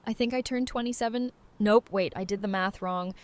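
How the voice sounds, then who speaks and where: A woman's voice: mid-range voice, a little fast, natural voice. A woman playing a sassy, casual survivor in her twenties in an audio drama, playful and blunt.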